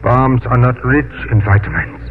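An actor playing an earnest, courteous doctor in a 1950s radio drama. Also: male voice, medium pitch, normal speed, lightly acted. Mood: polite, grave. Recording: noisy, narrow-band vintage radio broadcast.

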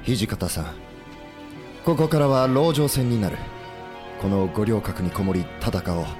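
A man's voice: on the low side, expressive, unhurried, over music. A male actor playing an earnest, polite and idealistic rebel army leader in a historical audio drama. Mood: resolute.

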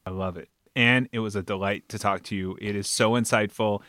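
A man speaking as a podcast guest in casual conversation, with emphatic, halting phrasing. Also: on the low side, fairly even intonation, pushed and bright, no room sound, studio quality. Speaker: podcast guest, fiction podcast producer.

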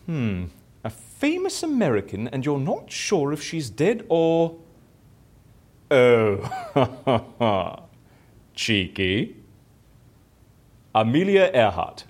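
A man's voice: mid-range voice, huge pitch swings, extremely slow, long pauses, a little mellow, full character voice. A man acting in an audio drama as a bored, boastful, theatrical raconteur who talks chattily and self-importantly. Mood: playful. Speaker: lead character in audio fiction.

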